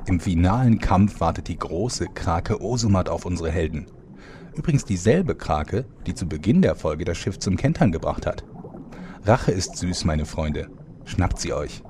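A man's voice: sits low, expressive, normal speed, background noise. A man playing a wry, bossy storyteller, delivering scripted comic narration with dry irony. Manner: dry, ironic.

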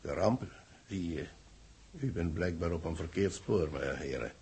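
An actor playing a grave, betrayed engineer who speaks with dramatic emphasis in a 1960s radio drama. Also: male voice, deep voice, expressive, measured pace, darkish tone, husky, full character voice. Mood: emphatic, revealing. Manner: emphatic, dramatic, repeating words. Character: grave, betrayed male engineer, a society chairman.

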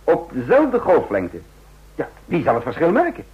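An actor playing a shrewd government intelligence adviser in a radio drama, articulate, rhetorical and persuasive. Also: male voice, fairly high pitch, wildly swooping pitch, moderate pace, husky, full character voice. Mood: urgent, emphatic. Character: shrewd, insistent male intelligence adviser to a president. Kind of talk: radio drama acting with first-person narration. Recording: dull, lo-fi radio drama recording.

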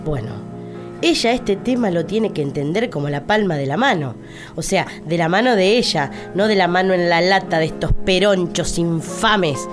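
A woman's voice: lowish voice, animated, moderate pace, music in the background, lightly acted. A woman voicing a pompous, boastful opposition politician and doting mother, scornful and declamatory, with an Argentine accent. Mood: smug. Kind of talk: political satire radio sketch.

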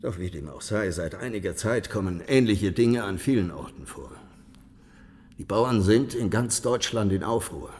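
A weary, haughty ruler in a historical radio drama. His low voice rings in a long reverberant hall, measured, commanding and full of condescension. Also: lively intonation, darkish tone. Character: haughty, weary, sardonic ruling prince.

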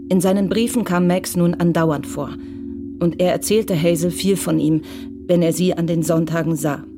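A woman narrating a scripted audio drama in Standard German. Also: lowish voice. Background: music.

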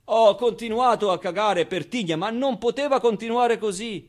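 Theatre monologue: male actor as wry, tender orphan boy, higher-pitched voice, confiding and conversational. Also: normal speed, lightly acted. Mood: animated, confiding. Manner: conversational, hesitant interjections, emphatic repetitions. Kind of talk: theatrical monologue, intimate storytelling.

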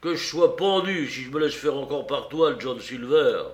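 A man's voice: medium pitch, lively intonation, normal speed, voice pushed up, close to an everyday voice. A man doing a defiant grumbling pirate.

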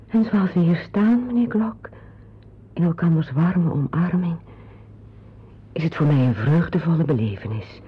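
A gentle, earnest lover in a radio drama. The voice is husky and breathy, taking its time over each phrase. The recording sounds muffled. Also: male voice, a little high, lively intonation, unhurried, long pauses, exaggerated voice. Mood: tender. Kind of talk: intimate radio drama acting. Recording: dull lo-fi radio play recording.

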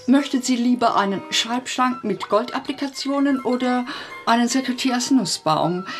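A woman playing a formal, flattering go-between in a German radio play, courteous, precise and persuasive. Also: a little high, normal speed, faint music behind, natural voice.

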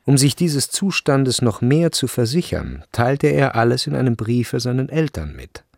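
A man narrating a literary radio play in a creaky voice. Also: medium pitch, sing-song, normal speed, dry recording, studio quality.

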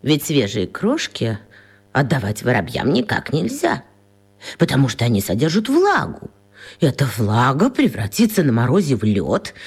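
Slow, swooping, husky old lady. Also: sits low, darkish tone, heavily acted. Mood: earnest, fussy. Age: older. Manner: drawn-out, theatrical, hesitant musing. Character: flustered, kindly eccentric old lady, talking to herself. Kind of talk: children's radio play acting.

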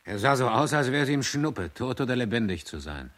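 An actor playing a sailor who recounts a rescue at sea as a witness in a radio play. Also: male voice, medium pitch, big pitch swings, normal speed, fairly bright tone. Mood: grim.

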